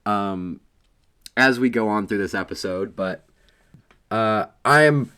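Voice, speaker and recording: A low-voiced man co-hosts a casual sports podcast, his voice hoarse from illness. He talks in a loose, teasing way, with plenty of ums along the way. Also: takes it slowly, pushed and bright. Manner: playful, boastful teasing with frequent ums. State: hoarse from being sick. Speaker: podcast co-host.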